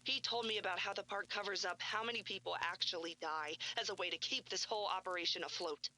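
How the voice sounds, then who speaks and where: An actress playing a distraught, defiant widow, emotional and insistent, in a found-footage horror drama. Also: female voice, medium pitch, fast talker, sharp tone, a little hiss or hum, close to an everyday voice, low-quality recording. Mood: accusing, distraught.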